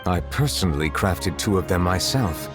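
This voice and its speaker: A really low voiced game judge, calm n formal, grave, soft music behind. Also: male voice. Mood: composed. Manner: measured, formal, composed. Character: calm, dignified male chief justice, grave and formal. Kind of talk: scripted video game voice acting.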